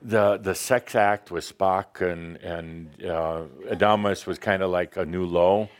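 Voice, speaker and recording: Deep-voiced joking male co-host. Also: fairly even intonation, measured pace, clean tone. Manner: joking, laughs often, rambling. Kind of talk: casual studio chat.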